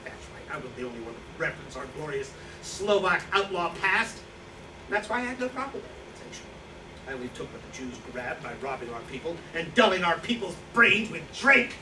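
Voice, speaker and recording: An actor playing a boastful, hard-drinking Slovak profiteer who styles himself an outlaw, rambling and justifying himself in a staged reading. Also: male voice, fairly high pitch, big pitch swings, fairly quick. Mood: defiant, boastful. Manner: rambling, boastful, self-justifying, loses his thread. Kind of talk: staged play reading, monologue-like recollection. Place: indoor staged reading, distant microphone. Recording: echoey, noisy room recorded from far off.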